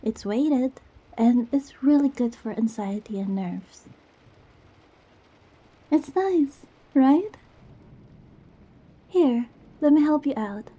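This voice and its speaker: Woman playing young girlfriend, ASMR roleplay, high-pitched, husky-breathy, soft, goofy, affectionate, reassuring. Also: expressive, slow, long pauses, heavily acted. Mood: cozy, caring. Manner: playful, rambling, tender, reassuring. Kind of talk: ASMR girlfriend roleplay, intimate one-sided dialogue.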